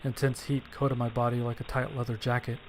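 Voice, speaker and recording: A man's voice: mid-range voice, little pitch movement, brisk, a little hiss or hum, lightly acted. A man playing an injured survivor who narrates his own story in scripted horror audio drama style. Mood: somber storytelling. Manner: scripted, descriptive storytelling with casual profanity.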